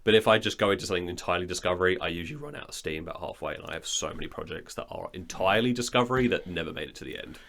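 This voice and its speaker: A man with a low voice chats as a podcast co-host. His manner is blunt and dryly joking, and his delivery is informal and conversational. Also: lively intonation, very fast, creaky, hardly any pauses, a bit bright. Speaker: podcast co-host and audio drama writer. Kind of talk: casual conversation.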